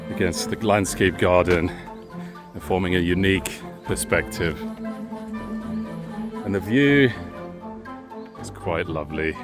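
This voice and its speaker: A man whose native language is Bosnian talks his way through a travel vlog, strolling outdoors through a quiet park. His voice sits low, and he leans on wordy sightseeing flourishes. Music runs clearly beneath him. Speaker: travel vlogger. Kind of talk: travel vlog commentary while walking.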